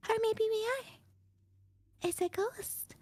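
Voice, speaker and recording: A woman's voice: very high voice, extremely slow, a little mellow. A woman from the United Kingdom chatting casually and playfully on a stream, cutesy and teasing, mixing in Japanese phrases. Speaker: streamer, co-host.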